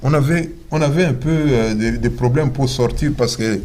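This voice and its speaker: A male interviewer hosting a talk show, asking questions haltingly with many pauses and repeated words. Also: mid-range voice, darkish tone. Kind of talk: hesitant conversational interview.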